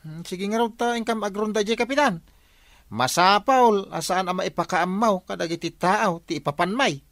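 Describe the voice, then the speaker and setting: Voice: mid-range voice, normal speed, a bit bright, no echo, studio quality, natural voice. A performer playing an inquisitive, scheming village watch volunteer in a comedy radio drama, questioning and coaxing with a northern Philippine Ilocano accent.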